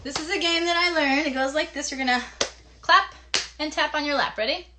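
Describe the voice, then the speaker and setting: A woman's voice: high voice, brisk. A woman hosting an interactive play session for children, giving instructive, encouraging directions straight to them. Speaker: children's live show host. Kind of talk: interactive kids' play session, teaching and singing a hand game. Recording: noisy live stream with clicks.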